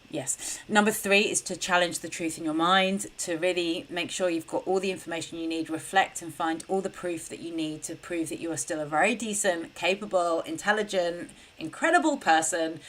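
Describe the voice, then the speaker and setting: A woman's voice: lowish voice, low-quality recording. A young adult woman, hosting a solo podcast and giving earnest, conversational advice with frequent fillers. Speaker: podcast host and coach.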